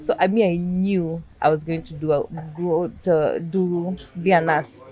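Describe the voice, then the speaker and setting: A young woman with a Kenyan accent tells her story in a relaxed interview. Her voice sits a little low and is animated, and she drops in Swahili phrases and laughs easily. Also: a little slow. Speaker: chef guest. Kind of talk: casual interview chat.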